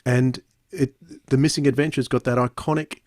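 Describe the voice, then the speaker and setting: A man's voice: mid-range voice, fairly even intonation, normal speed, darkish tone, no room sound, clean studio sound. A man hosting a podcast, reviewing casually and often doubling back on his words.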